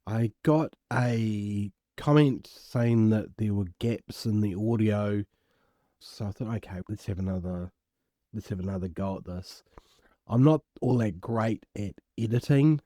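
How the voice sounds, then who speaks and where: A man with a croaky voice, casually working through an algebra exam step by step as a tutor, recorded at home. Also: fairly low pitch, a little slow, warm tone, dry recording, studio quality. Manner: casual, self-deprecating, explains step by step. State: croaky voice from illness. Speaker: maths tutor. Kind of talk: worked exam walkthrough.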